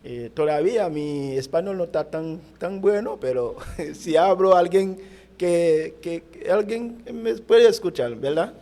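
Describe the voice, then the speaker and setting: Haitian pastor, halting Spanish, mellow voice. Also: male voice, fairly high pitch, lively intonation. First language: Haitian Creole. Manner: halting, searching for words in Spanish. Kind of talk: interview answers.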